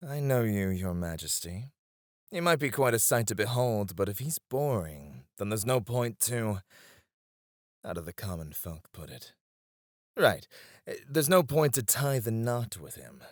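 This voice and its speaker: A man's voice: lowish voice, sing-song, unhurried, dry recording, clean studio sound. A man playing a devoted, teasing royal advisor in a romantic ASMR roleplay, speaking in a formal, courtly way. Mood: fond, playful.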